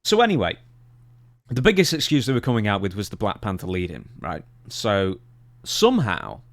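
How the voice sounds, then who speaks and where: A man co-hosts a casual talk podcast. His pitch swings widely as he speaks, and he sounds opinionated and exasperated. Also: huge pitch swings, moderate pace. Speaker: podcast co-host.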